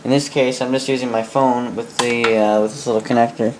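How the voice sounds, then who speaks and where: A man's voice: medium pitch, level tone, poor audio. Hesitant young Canadian man, faint background noise. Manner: hesitant, halting, rambling, often repeating words. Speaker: vintage radio hobbyist and host. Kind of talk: casual unscripted demonstration. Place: indoor workbench in a room.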